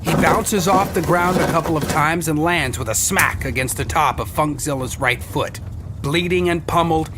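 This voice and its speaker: A man's voice: on the high side, normal speed. A man narrating a comedy audio drama, with wry asides to the listener. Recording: loud music bed behind.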